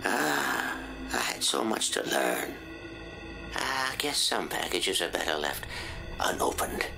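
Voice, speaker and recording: An actor playing a weary old psychic agent in a video game, guilt-ridden and cryptic, with a gravelly, halting, confessional delivery. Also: male voice, sits low, very animated, full character voice, rough recording. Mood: wistful regret. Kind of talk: video game cutscene acting. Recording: loud music under the voice.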